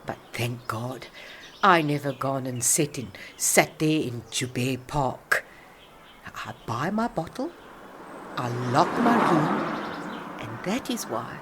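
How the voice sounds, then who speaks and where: An actress playing an older South African woman, a proud alcoholic who rambles haltingly and defensively through a radio-play monologue of reminiscence. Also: low voice, expressive, background noise. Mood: defiant, proud.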